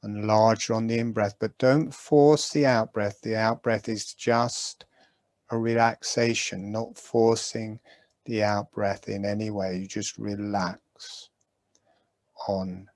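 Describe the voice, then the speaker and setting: A man guiding a breathing meditation, giving calm, gentle instructions with long pauses. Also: lowish voice, no echo. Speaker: meditation guide.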